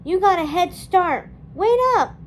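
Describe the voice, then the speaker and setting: A woman's voice: very high voice, unhurried, a little hiss or hum, voice pushed up. A woman voicing an anxious, frightened girl in a children's storybook read-aloud. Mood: calling out. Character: anxious girl, frightened and pleading with her friend.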